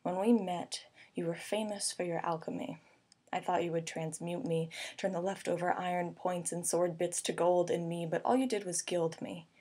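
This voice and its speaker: A young woman delivers slam poetry in a lowish, slightly husky voice. She phrases each image deliberately, with an earnest and intimate delivery. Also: fairly even intonation, warm tone. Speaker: slam poet.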